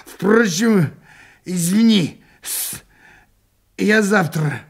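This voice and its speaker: A man voicing a pompous drunk official in a satirical story, slurred, halting and drawn-out. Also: high-pitched, animated, gravelly, husky, exaggerated voice. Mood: deflated. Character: swaying drunk pompous male board official.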